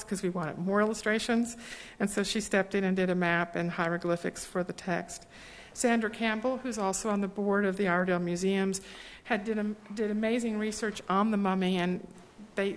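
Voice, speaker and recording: A woman giving formal thanks at a public meeting, speaking warmly with frequent ums and small restarts. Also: mid-range voice. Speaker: presenter thanking volunteers. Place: large meeting hall. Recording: long hall reverb.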